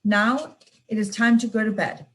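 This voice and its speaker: A woman voicing a patient, fond father teaching his daughter to dance, in a gentle, coaxing manner. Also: medium pitch, steady tone, normal speed, natural voice, rough recording.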